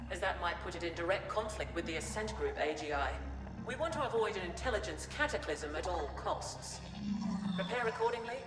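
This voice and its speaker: A cold, formal corporate operative from a cyberpunk game, voiced by a woman. She speaks precisely and condescendingly in a lowish register. The sound is echoey, with music behind. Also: close to an everyday voice.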